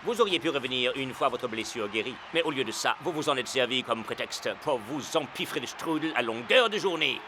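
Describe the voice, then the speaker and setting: An actor playing a proud, principled team manager in a cartoon, speaking formally and articulately. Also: male voice, mid-range voice, animated, a little fast, hardly any pauses, background noise, natural voice. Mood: firm, reproachful. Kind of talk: animated cartoon dubbing.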